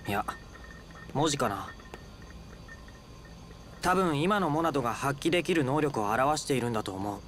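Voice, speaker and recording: A man playing a gentle, earnest, studious young man in Japanese video game acting. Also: mid-range voice, lively intonation, normal speed, long pauses, a little hiss or hum, close to an everyday voice. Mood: thoughtful. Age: young adult.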